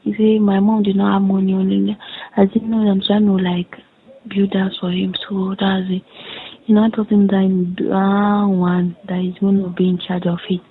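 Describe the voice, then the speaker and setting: A young woman with a Nigerian accent speaks on a muffled, lo-fi phone recording. Her delivery stays fairly flat, with a gravelly creak in it. She tells things haltingly, circling back and repeating herself as she relays reported dialogue. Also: mid-range voice. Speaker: caller in a private phone conversation. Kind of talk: casual recounting of a conversation.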